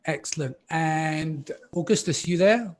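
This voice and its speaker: A man hosting a live podcast panel, chatty and relaxed with frequent ums. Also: a little high, a little slow. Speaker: podcast host.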